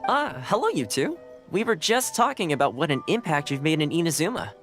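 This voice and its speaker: A man's voice: a little high, big pitch swings, fairly quick, fairly bright tone, faint music behind. A man voicing a friendly, diplomatic young retainer in a video game, with an easygoing, explanatory manner. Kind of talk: English video game voice acting.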